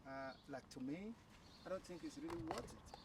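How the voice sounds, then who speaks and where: A man, telling his story as an interview guest, halting and repeating words. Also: fairly high pitch, expressive. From Nigeria. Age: 30s. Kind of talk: casual conversation. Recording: distant mic with clear background noise.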